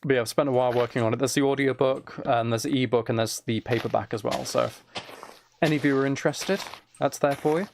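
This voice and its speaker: A man's voice: medium pitch, steady tone, fast, gravelly, slight background noise. A man chatting casually and teasingly to his viewers while playing a game on a livestream.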